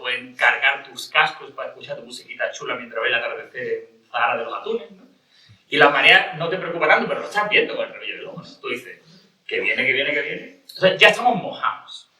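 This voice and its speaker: A man's voice: medium pitch, sharp tone. A man from Cádiz speaking on a panel, rambling with many restarts and rhetorical questions. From Cádiz, Spain. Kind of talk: panel discussion. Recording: echoey room from a distance.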